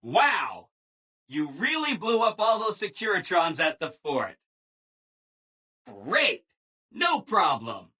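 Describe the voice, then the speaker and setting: Game robot assistant: bright, very animated, ingratiating, relentlessly upbeat people-pleaser. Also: male voice, sits high, takes it slowly, pauses often, husky, heavily acted. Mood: chipper, helpful. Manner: chipper, ingratiating, emphatic, apologetic people-pleaser. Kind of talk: scripted video game dialogue.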